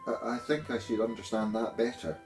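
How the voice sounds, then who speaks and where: A man's voice: mid-range voice, fairly even intonation, brisk, creaky, darkish tone, soft background music, natural voice. A man voicing a polite, hesitant young girl in a storybook reading. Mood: polite, puzzled. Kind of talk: storybook character voice.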